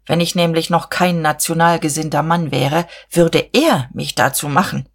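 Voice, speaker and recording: A woman's voice: sits low, normal speed, breathy edge. A woman voicing a pompous, cautious nationalist man in a novel reading, formal and moralizing in manner. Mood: grudging admiration.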